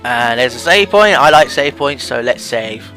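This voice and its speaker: Bright-voiced man doing game commentary. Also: medium pitch, lively intonation, moderate pace, faint music behind, poor audio. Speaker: let's play host.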